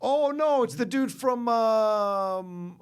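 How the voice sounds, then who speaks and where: Animated, emphatic man in casual chat. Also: extremely high pitch, extremely slow, smooth. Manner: emphatic, sweary. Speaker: co-host of a movie list show.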